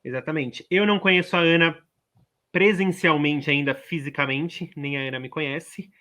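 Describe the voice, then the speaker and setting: A man with a Brazilian Portuguese accent co-hosts a radio talk show from a studio. His voice is bright and sits a little high. He chats easily, dropping frequent tag questions. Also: normal speed. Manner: chatty, warm-hearted, uses many tag questions. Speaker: radio co-host. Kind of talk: casual radio chat.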